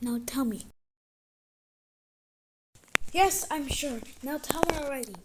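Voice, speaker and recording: Woman playing exasperated online teacher, very high voice, slow swinging delivery, amateur cartoon skit, dramatic. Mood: exasperated disbelief. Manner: exasperated, sighing, dramatic swings from calm to outburst. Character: exasperated teacher running an online class, losing patience. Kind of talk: amateur animated-skit voice acting, one side of dialogue. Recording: clicky home recording with background noise.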